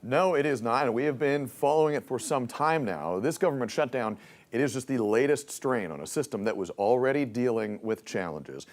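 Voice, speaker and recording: A man's voice: medium pitch, lively intonation, moderate pace. A man reporting live for television news from a newsroom in a broadcast reporting style.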